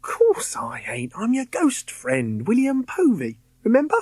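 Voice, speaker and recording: A man's voice: very high voice, wildly swooping pitch, measured pace, a little mellow, husky, dry recording, voice pushed up, full character voice. A man voicing a cheerful, streetwise ghost boy, chummy and reassuring.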